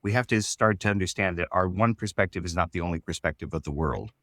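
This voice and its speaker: A man's voice: low-pitched, fast, a bit bright, dry recording, studio quality. A man giving a casual interview as a writer, reflective and hesitant, often restarting his phrases. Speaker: writer-creator interviewed about his show.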